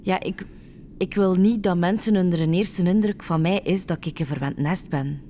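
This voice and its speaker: Radio play: actress as young Flemish bride-to-be, slightly low voice, self-doubting, rambling and correcting herself, some background noise. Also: female voice, moderate pace, warm tone, lightly acted. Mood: apologetic, uneasy. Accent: Flemish Belgian. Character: nervous young bride-to-be, chatty, self-doubting airline passenger. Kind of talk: naturalistic Dutch-language radio drama acting.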